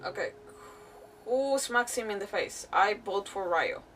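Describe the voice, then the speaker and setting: A woman streaming a game, giving casual, expressive live reactions full of exclamations. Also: mid-range voice, a little slow, recorded from a distance, slight background noise.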